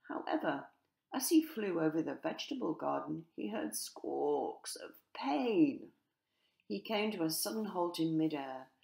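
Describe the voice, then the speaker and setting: Female children's story narrator. Deep register, unhurried, expressive, measured delivery. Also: gravelly. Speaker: author narrating her own story.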